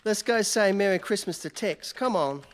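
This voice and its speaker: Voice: mid-range voice, animated, moderate pace, natural voice. A stage performer playing a cheerful young male newspaper reporter in a live radio play, teasing and fond on the phone. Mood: joking. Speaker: amateur radio play actor. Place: concert hall stage. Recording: long reverb of a concert hall.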